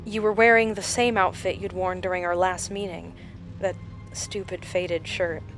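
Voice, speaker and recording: A woman acting in an audio drama as a shaken survivor recounting a supernatural encounter in slow, halting storytelling. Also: lowish voice, steady tone, fairly bright tone, soft background music, close to an everyday voice. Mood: haunted.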